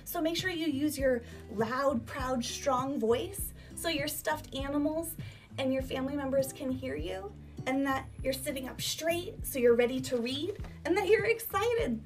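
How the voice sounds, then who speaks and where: Female primary teacher, high voice, emphatic instructional delivery for children, music clearly behind her. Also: unhurried. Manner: emphatic, animated teacher delivery with rhetorical questions. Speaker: elementary school teacher. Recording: distant microphone, music behind.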